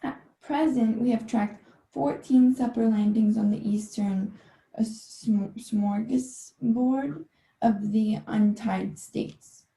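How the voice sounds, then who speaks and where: A woman's voice: a little high, fairly even intonation, slow pace, gravelly, dark tone. A young woman playing a bumbling army general who stumbles over her words in a comedic radio play. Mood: halting, confused. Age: young adult. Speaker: student actor. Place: bedroom at home. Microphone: laptop on a video call. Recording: roomy, echoey bedroom sound.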